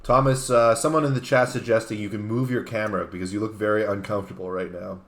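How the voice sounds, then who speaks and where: Male co-host, casual talker, lowish pitch, roomy echo on the voice. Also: fairly even intonation, quick pace, slight background noise. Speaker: talk show co-host. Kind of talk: casual chat. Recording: roomy, echoing room sound.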